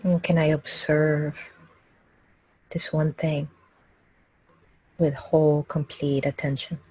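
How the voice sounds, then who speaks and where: A woman meditation teacher guiding a group gently and calmly, speaking in short, unhurried phrases with long pauses. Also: sits low, husky, no room sound, rough recording. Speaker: meditation teacher leading a live group session. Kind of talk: guided meditation, slow and gentle instruction.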